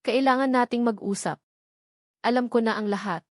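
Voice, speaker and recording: Woman voicing resolute wronged young gentleman, firm and serious. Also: a little high, moderate pace, dry recording, clean studio sound, close to an everyday voice. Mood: gentle, urgent. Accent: Filipino. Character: wronged wealthy young man, angry and resolute.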